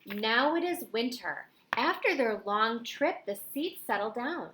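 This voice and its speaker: A middle-aged woman reading a children's picture book aloud in an expressive way with dramatic emphasis. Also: on the high side, measured pace, slight background noise, rough recording. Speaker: storybook reader.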